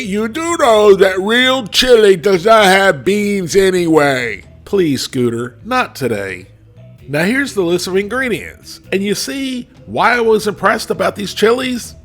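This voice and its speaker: A congested man with a slightly cracky voice hosts a food review and talks straight to his viewers. His voice sits fairly high for a man and swings up and down a lot. He keeps a folksy, chatty manner throughout. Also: a bit bright, slightly rough. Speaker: food reviewer, channel host. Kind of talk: casual video food review.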